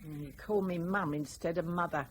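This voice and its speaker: A woman's voice: on the low side, fairly even intonation, moderate pace, slight background noise, lightly acted. An older actress playing a blunt, grumbling elderly mother who scolds and nags in a radio drama. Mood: blunt, nagging. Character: blunt, nagging elderly working-class mother.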